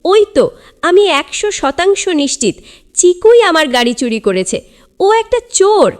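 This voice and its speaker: An emotional little cartoon boy, acted by a woman, pitched extremely high and shrill. He is insistent and dramatic, with intonation that jumps around. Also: big pitch swings, unhurried, heavily acted. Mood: angry.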